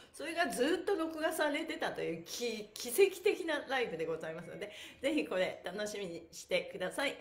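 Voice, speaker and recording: A middle-aged woman leads a livestreamed exercise lesson in a high, very animated voice. She is cheerful and exclamatory, chatting as she instructs. The sound is roomy, with audible echo from the indoor space. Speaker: stretch class host, pantomime performer. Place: small bare-walled room indoors.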